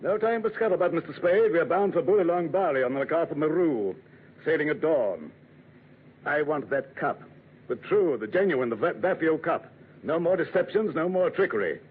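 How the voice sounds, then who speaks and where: An actor playing a pompous, blustering old sea commodore, a villain in a 1940s radio drama. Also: male voice, a little high, expressive, normal speed, natural voice. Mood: commanding. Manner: pompous, theatrical, nautical bluster. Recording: tinny, faintly noisy vintage radio recording.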